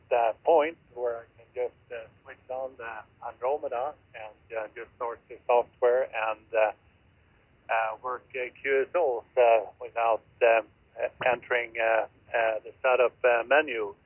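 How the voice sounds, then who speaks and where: Halting man over narrow-band shortwave radio. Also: mid-range voice, fairly even intonation, dry recording. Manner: halting, hesitant delivery with many pauses. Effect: heard through a radio receiver. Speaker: amateur radio operator. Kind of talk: ham radio contact chat.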